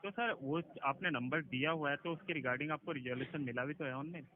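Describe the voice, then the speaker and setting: A man talks over a narrow telephone line in a somewhat high voice. He is a team leader handling a customer call. His delivery is formal and deferential, but defensive. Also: a little fast. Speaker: loan recovery team leader. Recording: low-fidelity recorded phone call.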